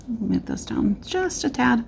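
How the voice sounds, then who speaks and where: Slow, gravelly-voiced woman crafting. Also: fairly high pitch. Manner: relaxed, chatty, thinking aloud with fillers. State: slightly losing her voice, clearing throat. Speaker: card-making tutorial host. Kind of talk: casual crafting tutorial narration. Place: indoor craft desk. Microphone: overhead camera, hands only on craft mat.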